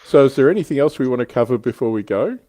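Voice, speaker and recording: A man's voice: mid-range voice, expressive, fast, dark tone, dry recording. A man hosting a casual hobby livestream, chatting informally in an anecdotal, joking way. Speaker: show host.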